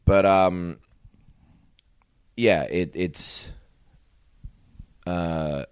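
A man's voice: low-pitched, very slow, smooth, dry recording. A man casually recapping a TV episode, joking and swearing as he goes. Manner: profane, joking, playful voices.

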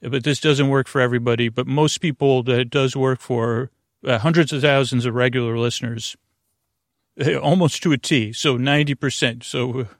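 Gravelly, creaky man rambling softly at bedtime. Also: mid-range voice, steady tone, no echo, studio quality. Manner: meandering, halting, tangent-prone. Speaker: podcast host. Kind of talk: rambling bedtime sleep-podcast monologue.

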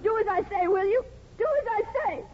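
A woman's voice: very high voice, fairly even intonation, brisk, slight background noise. An actress playing an emotional, lonely small-town wife and mother in a 1950s radio drama. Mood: bitter, angry. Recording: tinny 1950s radio broadcast recording.

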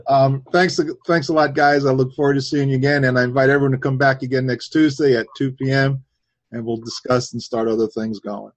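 Jersey guy hosting a church talk show, stops and starts a lot, kinda husky. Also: mid-range voice, flat delivery. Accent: New Jersey. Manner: hesitant, halting. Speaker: host. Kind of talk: casual religious talk show. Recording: dull lo-fi sound.

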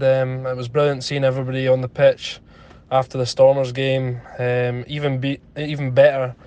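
A man recording a polite, slightly halting voice message for a podcast audience. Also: medium pitch, a little mellow, smooth. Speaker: absent co-host sending a recorded message.

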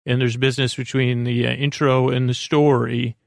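A man with a creaky, gravelly voice hosts a sleep podcast, giving a soothing, meandering bedtime monologue with hesitant, repeating, lulling speech close to the microphone. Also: medium pitch, flat delivery, no room sound. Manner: meandering, hesitant, repeats and stumbles over words; lulling, gentle, drawn-out delivery.

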